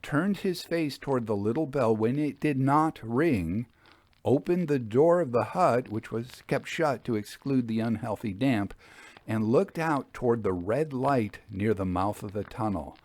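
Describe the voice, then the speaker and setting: A man narrating a literary ghost story as an audiobook, in a measured, formal storytelling manner. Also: mid-range voice, lively intonation, a little mellow, dry recording.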